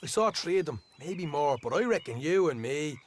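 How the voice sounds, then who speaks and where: An actor playing a cocky, talkative young Irish outlaw in a Western video game, boastful and folksy. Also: male voice, fairly high pitch, lively intonation, normal speed, a little hiss or hum, lightly acted. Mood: eager, boastful. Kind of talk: video game character acting.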